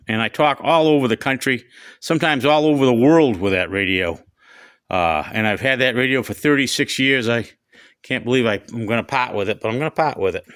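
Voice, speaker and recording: A man's voice: mid-range voice, a bit bright, clear, resonant tone, no echo, studio quality. A middle-aged or older man from the United States giving a casual, rambling vlog demonstration inside his pickup truck, often repeating himself. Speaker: hobbyist showing his CB radio.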